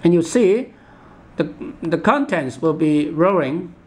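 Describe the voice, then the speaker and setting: A man giving an unscripted step-by-step product demonstration in a halting, repetitive instructional manner. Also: on the high side, lively intonation, darkish tone. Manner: halting, repetitive, instructional, with imperfect English grammar.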